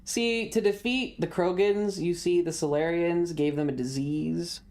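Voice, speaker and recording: A man's voice: fairly high pitch, steady tone, measured pace, a little mellow. A man doing casual game-stream commentary in a joking, irreverent way.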